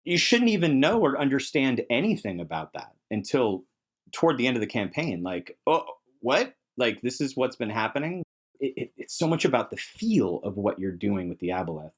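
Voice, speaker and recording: A man's voice: on the low side, big pitch swings, a little fast. A man talking casually and earnestly in a podcast discussion about games, with emphatic delivery. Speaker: podcast panelist.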